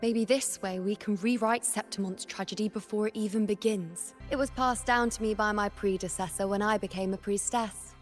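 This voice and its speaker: Woman as an earnest young priestess, mid-pitched. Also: steady tone, moderate pace, hardly any pauses, soft background music, natural voice. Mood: tender, hopeful. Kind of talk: video game voice acting.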